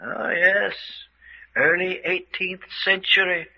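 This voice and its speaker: A man's voice: high-pitched, flat delivery, takes it slowly, vocal fry, heavily acted. A man acting an older, genteel villain who rules a castle, smug and coolly menacing, taunting with dry chuckles in an old-time radio mystery style. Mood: matter-of-fact. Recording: dull, lo-fi radio drama recording.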